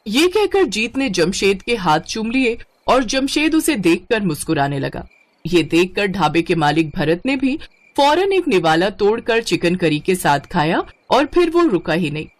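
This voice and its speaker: A woman narrates a moral tale in a scripted storytelling style. Her pitch sits in the middle and moves up and down in an animated way. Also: a little slow.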